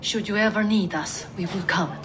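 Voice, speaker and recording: A woman's voice: mid-range voice, normal speed, lightly acted. A woman playing a stoic, loyal ally from the Viking age in a dramatic game cutscene. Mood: earnest.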